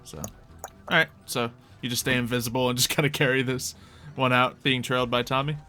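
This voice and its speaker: A man with a bright, forward voice runs a tabletop roleplay game as game master. He narrates casually and conversationally, making it up as he goes, at a middling pitch. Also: little pitch movement, fairly quick, soft background music.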